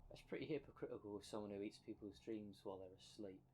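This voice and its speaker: A man's voice: on the low side, fast talker, dark tone, slight background noise, lightly acted. A man playing the impatient, sarcastic half of a dream demon in an ASMR roleplay, blunt and slangy. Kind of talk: ASMR roleplay audio drama.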